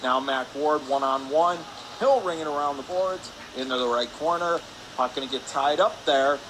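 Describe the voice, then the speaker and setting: A man with a slightly high voice calls a hockey game live for radio. His delivery is brisk and clipped, following the play moment by moment. Loud rink noise runs behind him. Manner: brisk clipped present-tense calls, rising on shots. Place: indoor ice rink. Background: loud arena ambience noise.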